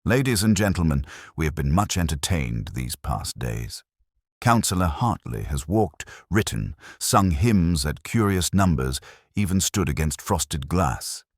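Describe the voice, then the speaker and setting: A mild, methodical police inspector, played by a male narrator in a detective audiobook. He speaks formally and deliberately in a very low voice, with an expressive rise and fall and a dry, close sound. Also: dry recording, exaggerated voice. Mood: calm, deliberate. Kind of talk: acted dialogue in story narration.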